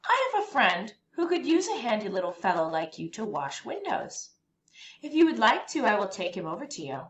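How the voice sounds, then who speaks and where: A woman's voice: medium pitch, sing-song, brisk, close to an everyday voice. A woman voicing a kindly, encouraging male cook in a children's storybook, with a friendly, emphatic delivery. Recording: roomy echo, faint background noise.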